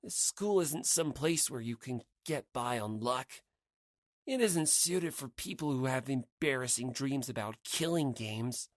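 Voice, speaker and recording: A man's voice: mid-range voice, animated, measured pace, dry recording, studio quality, lightly acted. A man voicing a bewildered, self-doubting teenage schoolboy, musing hesitantly to himself in an audiobook monologue. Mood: embarrassed, resigned.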